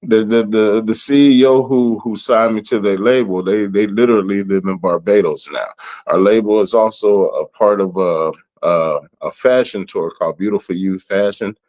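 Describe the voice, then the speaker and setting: A raspy-voiced man in his thirties from the southern United States, a rapper and event planner, talking in a relaxed, rambling way with lots of "you know". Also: fairly low pitch, moderate pace. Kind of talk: casual interview.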